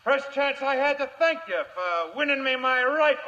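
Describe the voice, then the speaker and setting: An actor playing a cocky, taunting American outlaw, calling out loudly in a vintage radio western. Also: male voice, extremely high pitch, lively intonation, moderate pace, exaggerated voice. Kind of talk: 1950s radio drama acting, calling out loudly. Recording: dull old radio recording.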